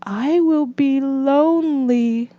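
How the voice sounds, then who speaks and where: An excitable, needy male toad from a children's storybook, acted out by a woman. The voice jumps high and pleads plaintively, with slow, drawn-out words in a slightly dull recording. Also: no echo. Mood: anguished, lonely.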